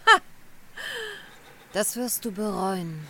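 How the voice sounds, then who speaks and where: A woman's voice: mid-range voice, background noise. An actress in a radio drama playing a proud, seductive queen who has been spurned, drawing out her threats with scorn. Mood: scornful, vengeful. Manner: drawn-out, scornful.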